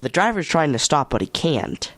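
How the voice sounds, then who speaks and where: A man with a fairly high voice takes part in radio comedy banter. The sound is dry and free of room echo. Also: big pitch swings, normal speed, a bit bright.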